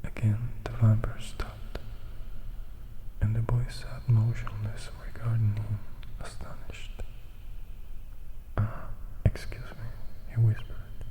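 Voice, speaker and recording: A man's voice: lowish voice, completely flat delivery, poor audio. Whispering man reading ASMR. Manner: hushed, slow, deliberate. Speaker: ASMR reader.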